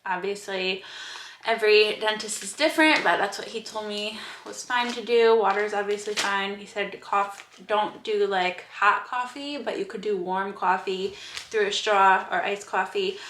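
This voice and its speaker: Young woman vlogger, slight lisp, bright sharp voice, chatty and rambling, roomy echo. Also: medium pitch, fairly even intonation, normal speed, slight background noise. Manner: chatty, rambling with fillers and restarts. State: slight lisp from new aligners. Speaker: fitness vlogger. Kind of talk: casual vlog update. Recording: echoey room.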